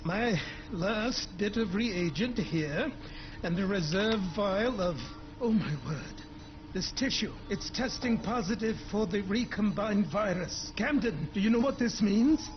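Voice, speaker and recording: A man's voice: high-pitched, expressive, measured pace, dull audio, music in the background. A man playing a fussy, kindly scientist doctor in a video game, with formal, old-fashioned phrasing and a hesitant, digressive manner. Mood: excited, absorbed. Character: fussy, scholarly, kindly male doctor researching a zombie virus. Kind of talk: video game cutscene acting.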